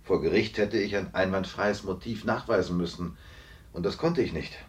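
An actor in a radio play playing an authoritative police investigator, speaking in measured, deliberate phrases. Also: male voice, low-pitched, distant mic, natural voice. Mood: controlled, frank. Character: calm, authoritative police investigator confronting a suspect he freed. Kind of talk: German radio play acting.

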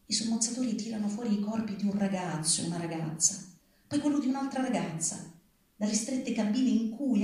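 Woman doing a solemn literary reading, middle pitch, super echoey room, kinda far from the mic. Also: warm tone. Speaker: reader at a public literary reading. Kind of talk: literary reading aloud, grave narrative prose.

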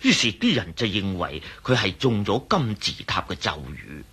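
An actor playing a talkative, cautious archaeology professor in a radio drama, with a Hong Kong Cantonese accent and hesitant, muttering delivery. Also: male voice, on the low side, very animated, normal speed, sharp tone, breathy edge, exaggerated voice. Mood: explaining, musing. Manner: hesitant, mumbling fillers, explanatory.

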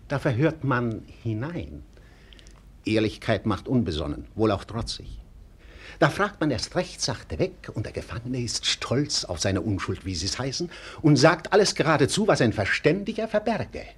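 Mocking clerk, swooping husky voice. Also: male voice, medium pitch, very animated, moderate pace. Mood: sly, lecturing. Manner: mocking, theatrical. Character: cynical, sharp-tongued male clerk stirring up timid townsfolk. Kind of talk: 1940s German radio acting.

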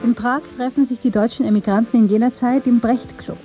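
A woman narrates a radio feature from a script. Her voice is fairly high yet very mellow. The sound is a little dull, with music playing behind. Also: steady tone, a little fast.

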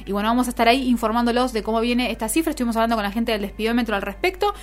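A woman's voice: on the high side, rapid-fire. An Argentine woman hosting a radio show in casual, informal chat with her co-hosts. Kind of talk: casual radio chat about the week's news. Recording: music bed under the voice. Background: music.

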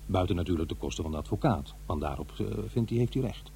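A man's voice: deep voice, lively intonation, quick pace, dark tone, a little hiss or hum. An actor playing a middle-aged divorced father who muses wryly over his memories in a radio drama. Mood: musing, wry. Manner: musing, hesitant, talking to himself. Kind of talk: radio drama monologue, conversational and introspective.

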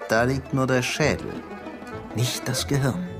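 An actor in a radio drama playing a scheming, secretive Soviet security chief who speaks in a conspiratorial, patronising, insistent way. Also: male voice, mid-range voice, fairly even intonation, a little slow, music in the background, close to an everyday voice. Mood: explaining.

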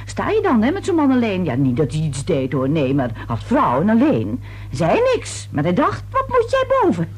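A gossipy middle-aged neighbour in a radio drama. Her voice is very husky and swings wildly up and down. She confides and insinuates with great self-importance. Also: lowish voice, wildly swooping pitch, moderate pace, darkish tone, full character voice. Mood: flustered, indignant. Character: gossipy, twice-married older neighbour woman, nosy and self-important. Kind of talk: Dutch radio play acting.

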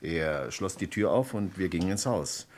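An actor playing a nervous, plain-spoken neighbour as a witness in an old German radio crime drama, halting and colloquial yet emphatic. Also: male voice, low-pitched, lively intonation, a little mellow. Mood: uneasy. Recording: vintage radio play with clear background noise.

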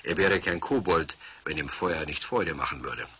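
A man playing the storyteller of a children's radio play, husky, narrating in a measured and gently wry way. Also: rumbling low, heavily acted. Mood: wry storytelling.

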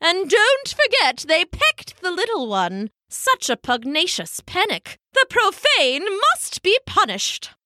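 Storybook elderly female penguin, played by a woman: high, swooping, fussy and indignant. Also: huge pitch swings, takes it slowly, few pauses, pushed and bright, dry recording, exaggerated voice. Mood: alarmed, scolding. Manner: exclamatory, alliterative, fussy.

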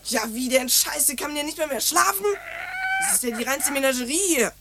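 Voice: high voice, lively intonation, fairly quick, vocal fry, heavy background noise. A performer playing a cheeky, insolent twelve-year-old girl in a radio play. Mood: brash, annoyed. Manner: brash, insolent.

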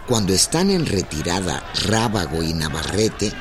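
An actor narrating history gravely in a scripted radio drama. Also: male voice, low voice, very animated, moderate pace, noisy background. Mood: grave, measured. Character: grave, measured male storyteller of history. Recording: voice over loud dramatic music.

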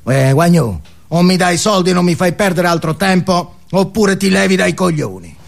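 An actor as a rude, gruff shopkeeper man. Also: sits high, animated, moderate pace. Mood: rude, impatient. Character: rude, vulgar, gruff male candy shopkeeper. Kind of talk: theatrical solo monologue.

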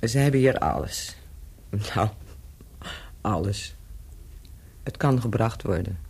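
Mellow, lilting worldly woman. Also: extremely low pitch, expressive, slow, breathy edge, heavily acted. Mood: flirtatious, inviting. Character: worldly, flirtatious Parisian woman of the night, wry. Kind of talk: radio play acting.

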